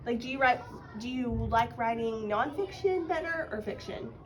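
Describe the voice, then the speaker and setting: Higher-voiced woman teacher, encouraging. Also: animated, normal speed, slight background noise. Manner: encouraging, explains by prompting with questions. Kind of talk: one-on-one writing lesson, casual and guiding.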